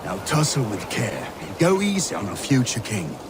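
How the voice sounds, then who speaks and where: A man's voice: medium pitch, huge pitch swings, normal speed, noisy background. An actor playing a boastful Danish warrior who taunts and mocks his rivals. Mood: mocking. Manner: scornful, taunting. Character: gruff boastful Danish warrior man, mocking. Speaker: rival warrior in a rhyming insult duel. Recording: game cutscene with loud ambience.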